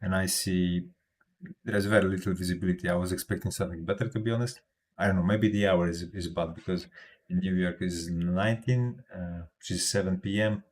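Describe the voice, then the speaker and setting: A man's voice: deep voice, steady tone, brisk. A man from Europe, speaking English as a second language with a non-native accent, talking casually on a livestream with many fillers and restarts. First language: not English. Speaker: crypto livestreamer.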